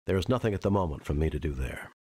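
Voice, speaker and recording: A man's voice: deep voice, animated, rapid-fire, dark tone, slightly rough, no room sound, clean studio sound. A man acting a formal, matter-of-fact starship crewman in a video game.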